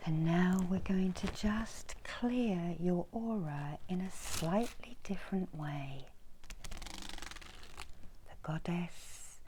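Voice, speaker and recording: A middle-aged woman whispering a soothing, breathy ASMR guided meditation as a healer, softly giving instructions. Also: fairly low pitch, slow pace, slight background noise, rough recording. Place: small indoor room with folding screens.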